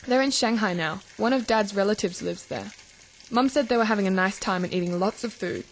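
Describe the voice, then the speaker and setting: A woman playing a caring, teasing teenage older sister in a radio drama, gently probing and reassuring. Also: medium pitch, fairly quick, close to an everyday voice, rough recording. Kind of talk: radio drama acting for English learners. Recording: clear background noise of sizzling cooking. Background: frying and stirring kitchen sounds.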